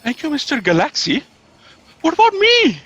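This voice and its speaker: A man playing an eager, anxious young copy aide in a comic sci-fi audio drama, hurried and excitable. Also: very high-pitched, exaggerated voice.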